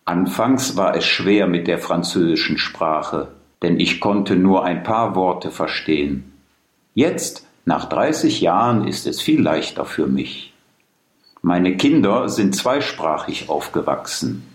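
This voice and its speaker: Deep slow-speaking man in his fifties. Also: expressive. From Germany. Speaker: speaker in a listening exercise. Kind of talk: slow read-aloud monologue. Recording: roomy echo.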